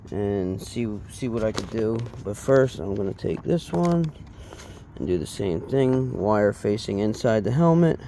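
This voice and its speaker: A man with a fairly low voice talks very slowly through a hands-on DIY job. His delivery is casual and halting, and he trails off as he works. There is clear background noise around him. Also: expressive, warm tone. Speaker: DIY installation demonstrator. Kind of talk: unscripted hands-on tutorial, casual.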